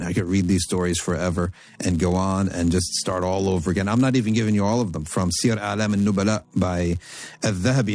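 A man's voice: on the low side, a little mellow. A man teaching a religious history lecture, stressing his points by repetition and reading classical Arabic aloud before translating it line by line. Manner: emphatic, repeats points for effect.

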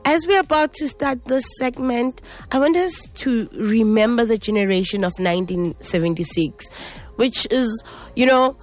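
A woman hosting a radio talk show, reading a measured introduction with fillers and restarts before turning to her guest. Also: a little high. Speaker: radio host.

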